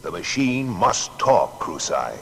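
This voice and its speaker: An actor playing an earnest, matter-of-fact male inventor in a dramatised biography, speaking in a deliberate, plain-spoken way. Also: sits low, sing-song, gravelly, slight background noise, full character voice. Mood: firm.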